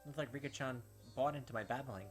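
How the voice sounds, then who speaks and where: A man's voice: medium pitch, quick pace, faint music behind. A man reading visual novel text aloud as a let's-play host, dropping casual, slangy asides along the way.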